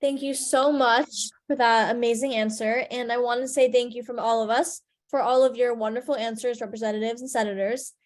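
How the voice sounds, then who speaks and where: A woman moderating a panel on a video call, reading out audience questions in a polite, measured way. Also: fairly high pitch, fairly even intonation.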